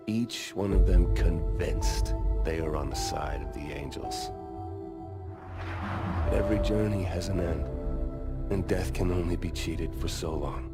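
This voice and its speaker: A man playing a grim, world-weary soldier who solemnly narrates a war story in a video game. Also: low voice. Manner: solemn, philosophical. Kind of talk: scripted dramatic game narration. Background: loud dramatic score.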